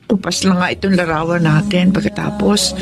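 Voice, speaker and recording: A woman's voice: lowish voice, steady tone, normal speed, vocal fry, over music. A woman playing a sentimental middle-aged mother in love again, in a wistful, emotional radio drama style. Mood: pleading. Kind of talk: Tagalog radio drama acting.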